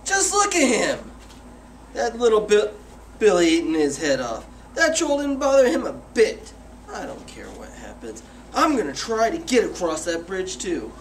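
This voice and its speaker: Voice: medium pitch, huge pitch swings, moderate pace, a little hiss or hum. A child performer playing a hungry, reckless middle billy goat, expressively, in a school readers theater. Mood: eager, hungry. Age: child, about eight or nine. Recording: echoey room, far from mic.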